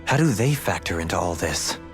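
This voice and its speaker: A man playing a composed, scholarly nobleman companion in a video game, speaking in a measured, eloquent, formal way. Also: sits low, expressive, faint music behind. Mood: thoughtful. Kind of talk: video game cutscene acting.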